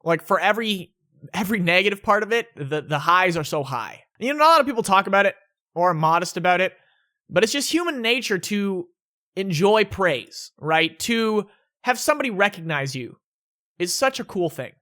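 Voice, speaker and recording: Young male YouTuber, laid-back and self-correcting, bright tone, home vlog. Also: high voice, lively intonation, normal speed. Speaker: YouTuber answering viewer questions. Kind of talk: casual vlog Q&A. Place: indoors at home.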